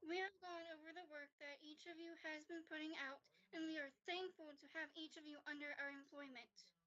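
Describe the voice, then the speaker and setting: A young woman playing a polite, reassuring company manager in a school radio play, speaking formally and deliberately. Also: high-pitched, steady tone, sharp tone. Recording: dull band-limited livestream audio.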